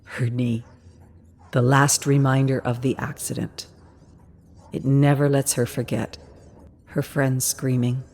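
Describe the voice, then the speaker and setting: A woman narrating a dramatic scripted story in a measured, hushed and intense manner. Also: a bass voice, flat delivery, a little hiss or hum.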